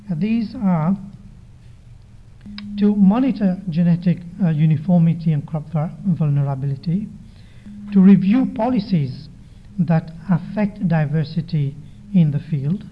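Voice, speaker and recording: A soft-spoken man with a slightly raised pitch delivers a formal conference talk. He speaks in a measured way, often pausing with hesitant fillers. The sound is dull and lo-fi. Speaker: conference presenter. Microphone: microphone. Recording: dull lo-fi recording.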